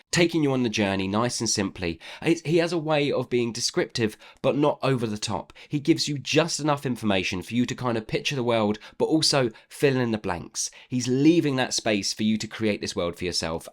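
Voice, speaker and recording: A man with a fairly low voice talks through a book review. He is chatty and opinionated, speaking informally as if to a viewer and leaning on repeated words to stress his points. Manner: chatty, opinionated, emphatic repetition. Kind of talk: casual book review to camera.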